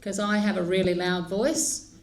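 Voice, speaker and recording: A woman leading a funeral service, speaking in a slow, measured and solemn way. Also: medium pitch, little pitch movement. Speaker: funeral celebrant.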